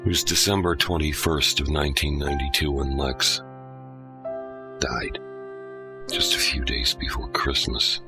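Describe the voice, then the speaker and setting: A man hosting and narrating an audio drama in a measured, deliberate way. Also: very deep voice, wildly swooping pitch, music in the background. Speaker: host and narrator.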